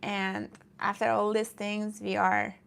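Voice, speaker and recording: A woman's voice: medium pitch, little pitch movement, slow pace, vocal fry, fairly bright tone, smooth. A woman from Iran who streams about fitness, chatting casually with viewers in a rambling way and often breaking off to greet commenters.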